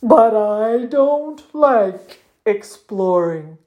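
A big male storybook muskox, voiced by a woman, miserable and vain. The words drag out in a slow, croaky whine, full of anxious melodrama. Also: fairly high pitch, lively intonation, narrow, tinny audio. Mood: miserable, whiny. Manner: whiny, drawn-out, melodramatic lamenting.

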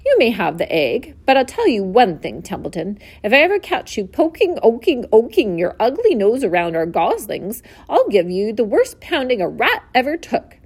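A woman's voice: on the high side, very animated, normal speed, hardly any pauses, slightly rough, heavily acted. A woman voicing a strong, protective male gander who issues stern threats in a storybook reading. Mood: threatening.

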